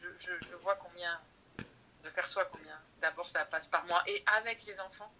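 A woman's voice: fairly low pitch, fairly even intonation, moderate pace, pushed and bright, a little hiss or hum, rough recording. A woman speaking as a podcast guest about her teacher training, thoughtful and hesitant, often restarting her sentences. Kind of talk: conversational interview.

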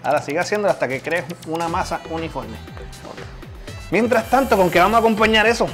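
A man's voice: high voice, fast talker. A man in his thirties with a Puerto Rican accent, chatting casually and jokily as he hosts and demonstrates cooking. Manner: joking, colloquial. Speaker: chef and cooking show host. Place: home kitchen. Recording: music playing behind the voice. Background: music.

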